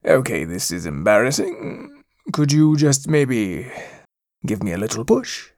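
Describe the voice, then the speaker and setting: Sly, drawling villain cat. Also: male voice, medium pitch, very animated, unhurried, darkish tone, dry recording, clean studio sound, voice pushed down. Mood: embarrassed, pained. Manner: sneering, drawling, taunting. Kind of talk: acted children's story narration.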